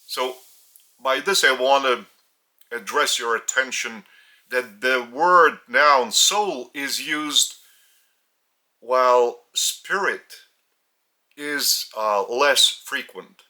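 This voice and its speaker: A man from Lithuania with a Lithuanian accent, a spiritual teacher speaking in short, halting phrases, his native language being Lithuanian. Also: mid-range voice, pushed and bright. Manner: halting, phrase-by-phrase delivery with pauses. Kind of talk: slow spiritual teaching talk.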